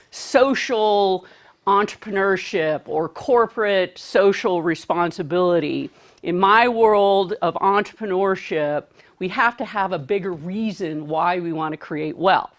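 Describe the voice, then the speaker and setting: A woman addresses a seminar audience in an unhurried, deliberate way. She projects her voice to reach the back of the room and keeps asking the listeners questions. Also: medium pitch. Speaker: speaker. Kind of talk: seminar talk to a live audience.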